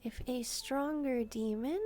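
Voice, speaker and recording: Woman playing a soft, high-voiced demon maid girl. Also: slow pace, faint music behind. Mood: earnest, explaining. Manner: polite, soft-spoken, hesitant and chatty. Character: sweet, curious young female demon maid. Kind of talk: roleplay addressed to the listener.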